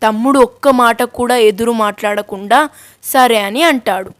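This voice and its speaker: A woman's voice: on the high side, moderate pace. A woman narrating a scripted moral story for children. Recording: music under the voice. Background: music.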